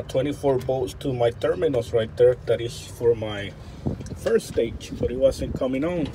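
A man, an HVAC technician, talking through a repair outdoors at a rooftop unit, thinking aloud with fillers and restarts, recorded close on a handheld camera. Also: mid-range voice. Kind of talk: hands-on troubleshooting walkthrough. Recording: loud outdoor wind and traffic noise.

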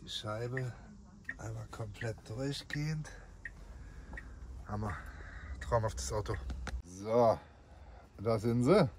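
A man in his forties with a cold, talking casually and excitably into a phone camera held at arm's length. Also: mid-range voice, takes it slowly, pauses often, noisy background. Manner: chatty, excitable, swears casually. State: has a cold. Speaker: vlogger. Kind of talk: casual vlog. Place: living room, then car park and car interior.

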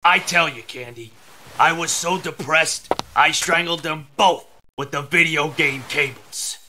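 A man's voice: fairly high pitch, measured pace, bright, forceful tone, a little hiss or hum, full character voice. A man playing a swaggering, sleazy American mobster hustler in a crime video game. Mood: boastful.

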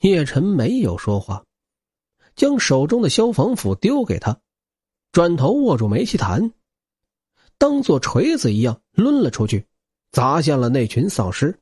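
A man's voice: fairly high pitch, huge pitch swings, measured pace, long pauses, a little mellow, husky, dry recording, studio quality. A man narrating action fiction as a scripted audiobook, with a dramatic, theatrical and intense delivery.

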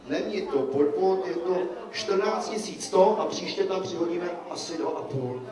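Distant man announcing in an echoey hall. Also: sits high. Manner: calling out to the room. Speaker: announcer of results. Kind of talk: announcing to the crowd. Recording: echoey room from far off, loud crowd.

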